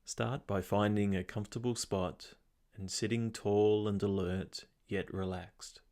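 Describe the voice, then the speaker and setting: A man with a fairly low voice leads a guided meditation. He gives calm, measured instructions and leaves long pauses between phrases.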